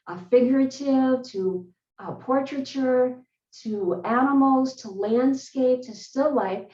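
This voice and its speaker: A woman hosting an online interview, speaking in a friendly, measured way over a video call. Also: a little high, warm tone. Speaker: host. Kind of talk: scripted intro, then interview. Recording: roomy, distant, dull video call audio.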